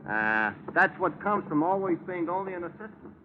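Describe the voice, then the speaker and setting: An excitable, complaining assistant with a high voice. His pitch swings widely in old radio-play style. The recording is dull and noisy. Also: moderate pace. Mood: grumbling, excitable. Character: excitable, grumbling male assistant on an airplane. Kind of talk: 1940s radio drama acting.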